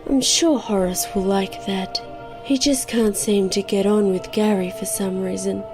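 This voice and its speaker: A woman's voice: mid-range voice, fairly even intonation, unhurried, lightly acted. A woman playing a kooky, affectionate new-age spiritualist in an audio comedy, speaking earnestly and airily. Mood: serene. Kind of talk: scripted comedy radio segment monologue. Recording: music behind the voice.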